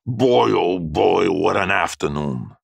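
A man's voice: low voice, big pitch swings, normal speed, vocal fry, no echo, voice pushed up, exaggerated voice. A man voicing a brash, eager, girl-crazy male shop partner in slangy exclamations for an audiobook. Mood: elated. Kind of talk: audiobook character voice.

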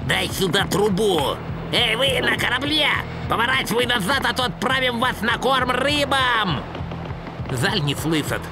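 A man voicing an excitable male musketeer dog companion in a cartoon dub, eager and boisterous. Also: very high-pitched, a little slow, music in the background, full character voice.

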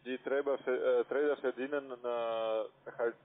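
A man's voice: mid-range voice, steady tone, unhurried. Male phone sales agent, choppy and unsure, non-native wording, narrow telephone audio. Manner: hesitant, choppy, non-native phrasing in German. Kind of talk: halting sales pitch. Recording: thin narrow-band phone line.

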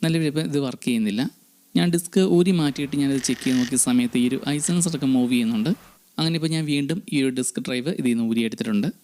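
A man's voice: mid-range voice, a little mellow, clean tone. A man, hosting an electronics repair channel and narrating a restoration tutorial step by step. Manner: explanatory, step by step, addressing viewers.

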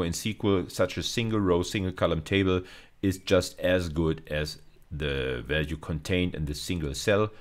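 A middle-aged man giving an explanatory lecture, methodically rephrasing and repeating his key points. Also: deep voice. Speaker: university lecturer. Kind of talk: explanatory lecture over slides.

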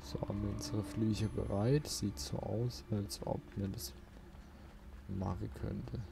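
Slow gravelly guy doing a casual let's play, grumbling, loud game noise behind him. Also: fairly low pitch, steady tone. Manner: grumbling, muttering to himself, mild swearing. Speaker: let's player. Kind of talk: casual gameplay commentary. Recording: noisy, game sound behind voice. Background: video game ambience.

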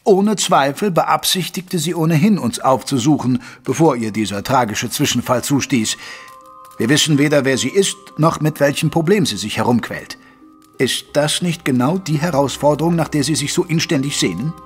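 An actor playing a caring gentleman physician who narrates a German audio drama in a measured, literary way. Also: a little high, expressive, faint music behind, lightly acted. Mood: firm, protective.

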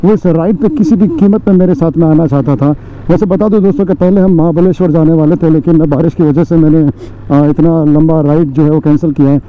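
High-voiced man narrating a bike ride. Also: a little fast. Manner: chatty, addresses viewers as friends, many fillers. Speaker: motovlogger. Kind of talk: casual narration while riding. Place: outdoors on a motorcycle on city and village roads. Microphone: helmet or bike-mounted action camera mic. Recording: dull lo-fi outdoor riding audio with faint road noise. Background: faint traffic and road noise.

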